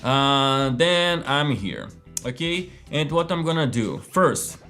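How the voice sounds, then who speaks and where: A man's voice: on the high side, animated, slow, bright, forceful tone. A man hosting a casual live coding lesson, chatty and full of fillers, often checking in with "right?" and "okay?". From Brazil. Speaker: host of a live coding stream. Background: faint background music.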